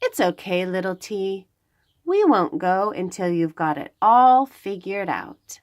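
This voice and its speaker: A woman voicing an excited, playful storybook parent who coaxes a nervous little child in an exclamatory, encouraging singsong. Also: mid-range voice, takes it slowly, no room sound, clean studio sound. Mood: gentle, coaxing.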